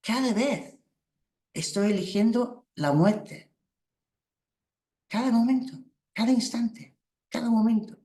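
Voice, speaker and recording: Slow, reflective woman, Spanish. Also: a little high, animated, dark tone. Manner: slow, halting, reflective, with small laughs. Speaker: workshop teacher. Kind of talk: spiritual workshop talk. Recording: roomy echo.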